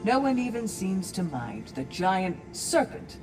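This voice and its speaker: An earnest female warrior in a video game. She speaks with solemn, measured composure and articulate phrasing. The voice sits a little way from the mic, with music clearly behind it. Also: mid-range voice, sing-song, distant mic, poor audio. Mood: hopeful.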